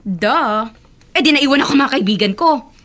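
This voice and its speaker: A spoiled Filipino teenage daughter in a radio drama. Her high, sharp voice swoops widely, full of bratty defiance and sarcasm. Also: expressive, normal speed. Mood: indignant. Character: defiant, spoiled eighteen-year-old daughter.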